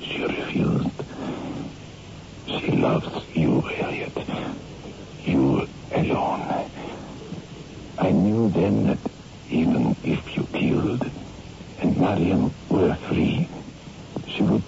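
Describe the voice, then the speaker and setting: A dying, lovesick villain speaks very low and slowly, with a gravelly, breathy strain. Each phrase comes haltingly, as in old radio horror acting, on a muffled, noisy recording. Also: male voice, lively intonation, full character voice. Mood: weak, bitter. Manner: halting, laboured delivery. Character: dying male rival in love, bitter and scheming.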